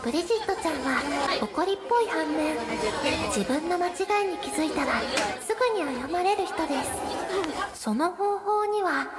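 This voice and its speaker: A woman playing an earnest, put-upon high school girl, mildly exasperated, in a radio drama that she also narrates. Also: animated, normal speed. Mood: earnest narrating. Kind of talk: radio drama acting and first-person narration. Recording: music and crowd noise behind.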